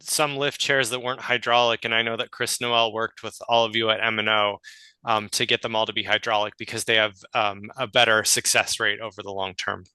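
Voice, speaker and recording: A man from the parks capital team calmly explaining an equipment change at a meeting. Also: lowish voice, fairly quick, smooth, dry recording. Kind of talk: meeting answer.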